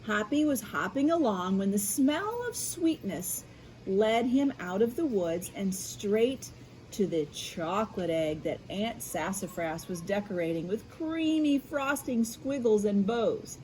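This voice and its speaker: An American woman reading a picture book aloud to children in an expressive way, adding explanatory asides. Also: mid-range voice, a little hiss or hum, low-quality recording. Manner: animated, unhurried, addressing children. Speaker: school media specialist reading aloud.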